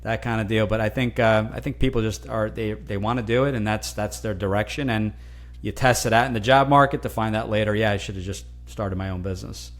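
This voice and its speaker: A man from New Jersey whose first language is Italian, speaking as a guest in a casual interview, animated and often restarting words. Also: on the low side, a little fast. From New Jersey, USA. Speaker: guest, franchise consultant.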